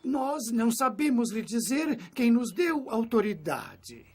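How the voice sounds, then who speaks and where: Pompous, scheming male elder, high voice, very animated swings, theatrical Bible cartoon villain. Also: slow pace, exaggerated voice. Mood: flustered scheming. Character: indignant, scheming male religious elder confronting a preacher. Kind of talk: dubbed animated Bible drama.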